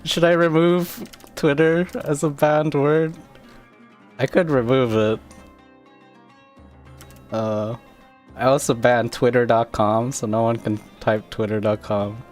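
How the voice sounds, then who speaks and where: Slow-talking, halting male game streamer. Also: mid-range voice, fairly even intonation, darkish tone. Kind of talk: casual livestream chat. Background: faint game music.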